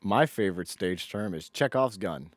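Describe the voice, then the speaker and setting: Lower-voiced man co-hosting a podcast. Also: expressive, normal speed, no echo. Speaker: podcast co-host. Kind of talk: casual podcast conversation.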